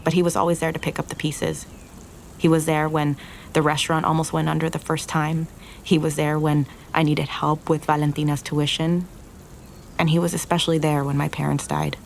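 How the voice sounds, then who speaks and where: An actress playing an earnest, regretful young restaurant owner in an audio drama, confessing in a halting, naturalistic way. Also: female voice, low voice, little pitch movement, gravelly, darkish tone, a little hiss or hum. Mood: wistful, regretful.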